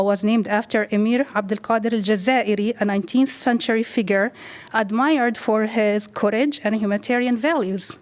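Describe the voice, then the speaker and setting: A woman reading a formal prepared statement at the podium of a city council chamber, with measured delivery. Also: a little high. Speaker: community advocate.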